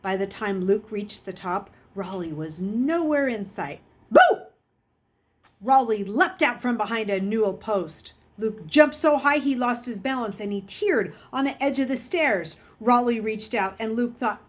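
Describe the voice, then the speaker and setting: A woman reading a children's novel aloud in an expressive storytelling style. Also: on the high side, a little slow. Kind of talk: expressive storybook read-aloud with character voices.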